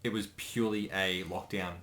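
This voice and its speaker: A man's voice: low-pitched, fairly even intonation, normal speed, fairly bright tone. A man co-hosting a commentary who chats casually and often corrects himself mid-sentence. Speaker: co-host and voice actor.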